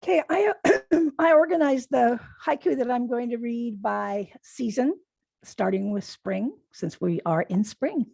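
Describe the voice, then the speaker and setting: A woman poet reading haiku aloud in a measured delivery with pauses between the phrases. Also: on the high side, vocal fry, darkish tone, slightly rough, no room sound. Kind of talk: poetry reading.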